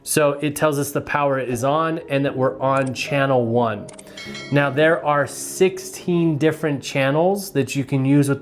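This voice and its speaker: A man giving a casual, hands-on product review in a plain-spoken, explanatory way. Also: a little high.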